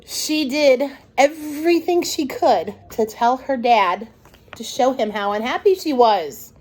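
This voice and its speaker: Slow, lively, high-voiced woman reading a storybook. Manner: expressive, conversational asides to listeners. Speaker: storytime host reading a picture book. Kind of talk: animated read-aloud storytelling to young children.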